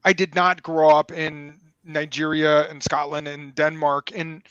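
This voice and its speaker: A man from Chicago hosting a casual interview over a headset, talking in an animated, joking way. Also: a little high, unhurried, smooth, no room sound. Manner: animated, chatty, jokes. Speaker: podcast host. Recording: dry, dull headset audio.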